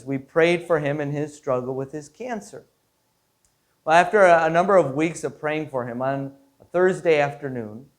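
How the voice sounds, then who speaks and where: A man with a slightly high voice speaks as a pastor sharing a devotional story. His delivery is earnest and measured, with phrases set out one at a time and the odd repeated word. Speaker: Lutheran pastor.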